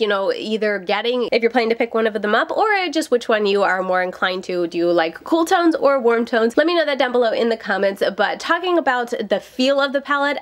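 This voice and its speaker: A woman talks to her viewers in a bubbly, chatty way. Her voice sits a little high and slightly nasal from a cold. She talks briskly and directly to the camera. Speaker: beauty vlogger. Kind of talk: casual makeup review vlog.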